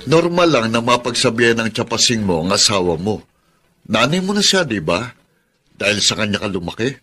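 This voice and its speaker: A frank, forceful Filipino male friend. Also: fairly low pitch, sing-song, fairly quick, slightly rough, full character voice. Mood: earnest, advising. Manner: emphatic, advising. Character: frank male friend giving worldly, cautionary advice. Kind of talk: Filipino radio drama acting.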